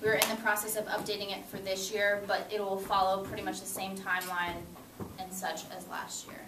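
A young woman in her twenties presents to classmates in a lecture room with a chatty, informal, upbeat manner. Her voice sounds roomy and far from the mic, with room noise clearly underneath. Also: medium pitch, little pitch movement, a little fast. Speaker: student group co-president. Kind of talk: casual presentation. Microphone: far camera.

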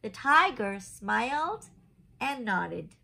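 Woman doing kids story time, really lively pitch, bit husky, takes it slow. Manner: animated, simple questioning asides to children. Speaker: children's story time reader. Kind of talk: expressive picture-book read-aloud with asides to young listeners. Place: small home room, indoors.

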